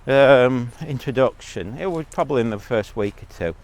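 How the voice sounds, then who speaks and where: A man's voice: mid-range voice, moderate pace. A man giving a blunt, rambling monologue as a vlogger, talking while out on a walk.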